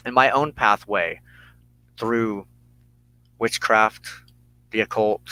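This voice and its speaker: Slow, deliberate American man, bright forceful voice. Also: mid-range voice, low-quality recording. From United States. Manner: deliberate, halting phrases with emphatic pauses. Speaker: host of a weekly religious broadcast. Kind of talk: earnest personal monologue to camera.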